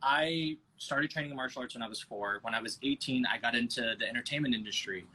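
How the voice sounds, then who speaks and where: A man chatting on a video call from his phone as a podcast guest, relaxed, swearing often, calling the host "bro". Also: mid-range voice, a little fast, a little hiss or hum, low-quality recording. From United States. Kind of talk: casual interview chat. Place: home over video call.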